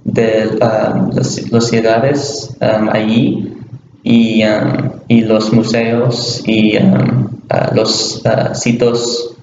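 A young university student speaking slowly and haltingly in a language he is learning, with many pauses and restarts, recorded in a small bare room. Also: fairly low pitch, level tone, a little mellow, slight background noise. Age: young adult. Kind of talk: scripted class conversation in a learned language. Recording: roomy, dull webcam audio.

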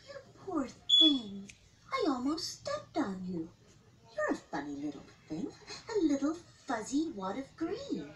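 A woman voicing a gentle, motherly young female mouse in a storybook, soft and easily worried. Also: sits high, huge pitch swings, measured pace, distant mic, noisy background, heavily acted. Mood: tender. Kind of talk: storybook character acting. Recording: music behind the voice.

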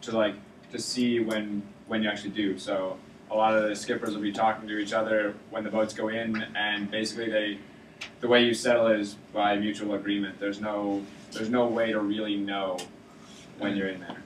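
A young man explaining sailing rules to his college team in a steady manner, with some restarts. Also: on the low side, moderate pace, a little hiss or hum. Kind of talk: informal lecture to a student sailing team. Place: lecture classroom. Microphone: far camera in the room. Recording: roomy classroom from far off.

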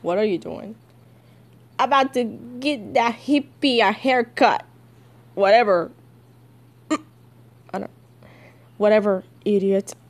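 A woman's voice: mid-range voice, slow pace, long pauses, lightly acted. A woman voicing an irritable male comic villain in a dismissive, grumbling manner. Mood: irritated.

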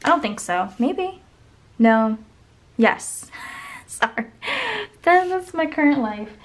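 A young woman with a voice going hoarse chats casually while she decorates her planner at a desk at home. She rambles and counts under her breath as she works. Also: a little high, animated, slow. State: losing her voice. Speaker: planner vlogger. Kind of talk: casual plan-with-me chat. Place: home desk, camera overhead.

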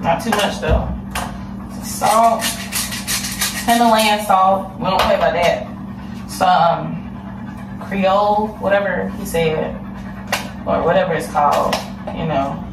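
Slow-talking woman in an echoey kitchen. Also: fairly low pitch, background noise. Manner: chatty, talks straight to viewers. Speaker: cooking vlogger. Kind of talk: casual cook-along chat. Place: home kitchen, indoors. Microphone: camera across the room. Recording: echoey kitchen, distant mic, clattering pans. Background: dishes and pots clattering.

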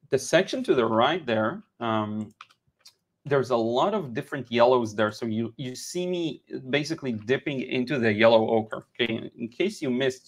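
A man in his thirties from Israel, teaching watercolor painting on a live stream in a relaxed, chatty way with many fillers and restarts, recorded in a home studio on a headset mic. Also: mid-range voice. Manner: relaxed, chatty, frequent "uh" and repeated words. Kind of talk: live-stream painting lesson with chat replies.

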